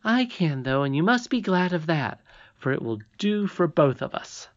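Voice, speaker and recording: Casting: young storybook princess played by an audiobook reader, high voice, sure of herself, insistent and earnest. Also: very animated, moderate pace, lo-fi sound, close to an everyday voice. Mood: insistent, confident.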